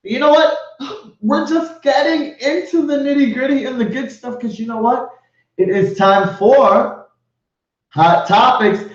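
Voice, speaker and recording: Effusive higher-voiced man host, echoey. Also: unhurried. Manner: effusive, swears, laughs a lot. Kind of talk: casual live show chat. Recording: echoey lo-fi stream audio.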